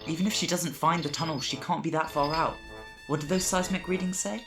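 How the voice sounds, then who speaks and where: A woman's voice: deep voice, fairly even intonation, a little fast, music in the background, natural voice. A woman playing an adventurer's practical, sceptical companion in an audio drama, with a dry, questioning manner.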